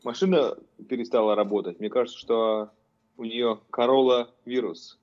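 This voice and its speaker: A man's voice: medium pitch, fairly even intonation, normal speed. A man in casual chat, joking and leaning heavily on filler words, recorded with a dull lo-fi sound.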